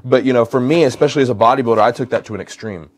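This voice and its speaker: A man's voice: mid-range voice, racing, no room sound. American male, 30s, lifestyle vlogger. Conversational, mid-range voice, repeats phrases to stress them. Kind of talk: casual vlog chat while cooking. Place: home kitchen, indoors.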